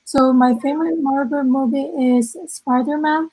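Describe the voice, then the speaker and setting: Give me a young woman from South Korea with a Korean accent, co-hosting an online event over a video call. Her voice is high but mellow, and she presents in halting English, filling her pauses with "uh.". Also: steady tone, rough recording. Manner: halting, many fillers. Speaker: event co-host.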